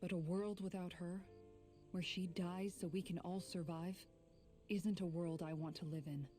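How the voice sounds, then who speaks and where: An actress playing a caring, steady, big-sisterly warrior in an anime video game, frank and sincere. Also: female voice, lowish voice, moderate pace, faint music behind, close to an everyday voice.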